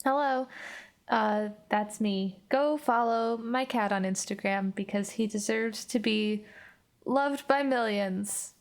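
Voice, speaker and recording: A woman's voice: a little high, slow pace. A woman playing on a tabletop podcast, talking casually and reflectively. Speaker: player on an actual play podcast. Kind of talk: casual, reflective game chat.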